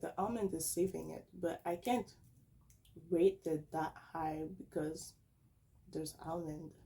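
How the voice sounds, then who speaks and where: A young woman, tired and a little hoarse, chatting casually and rambling as she taste-tests snacks at home. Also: low-pitched, warm tone, low-quality recording. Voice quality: raspy. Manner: rambling, self-correcting, chatty. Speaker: snack reviewer vlogger. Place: at home, indoors.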